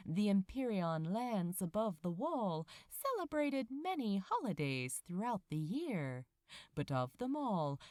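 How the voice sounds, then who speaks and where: A woman reading a fantasy romance story as scripted narration, in an expressive, unhurried storytelling manner. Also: fairly low pitch, dry recording, studio quality. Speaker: fan fiction narrator.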